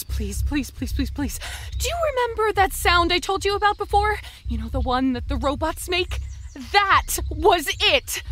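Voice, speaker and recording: Woman acting a high, chatty, excitable zombie girl. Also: full character voice. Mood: anxious, pleading. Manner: chatty, rambling, excitable, confiding in the listener. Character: Hannah's inner voice, chatty anxious undead young woman. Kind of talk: audio drama first-person monologue.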